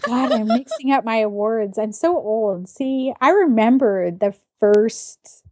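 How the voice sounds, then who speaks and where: A woman in her forties co-hosting a casual podcast, explaining things in a measured, reasoning way. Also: fairly high pitch, lively intonation, darkish tone, dry recording, studio quality. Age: 40s. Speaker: podcast co-host. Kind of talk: casual pop-culture podcast chat.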